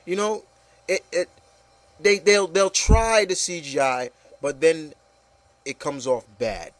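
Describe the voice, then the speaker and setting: Male panel host, fairly high-pitched, dry recording. Opinionated, emphatic, conversational, repeats points. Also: slow, no echo. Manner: emphatic, repetitive, peppered with "you know". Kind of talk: casual opinionated panel discussion.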